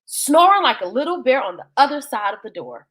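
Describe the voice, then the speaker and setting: Female storyteller for kids' bedtime tales. High, bright voice, expressive and folksy, big swings in pitch. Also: a little fast. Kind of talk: animated children's bedtime story reading.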